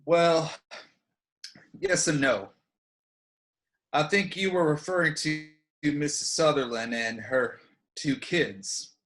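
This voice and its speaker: An actor as a folksy small-town sheriff in a Western radio drama. He takes his time over hesitant phrases, in a fairly high male voice. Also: slow, long pauses, a bit bright. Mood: explaining. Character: folksy, deliberate Old West town sheriff. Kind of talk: online radio play acting.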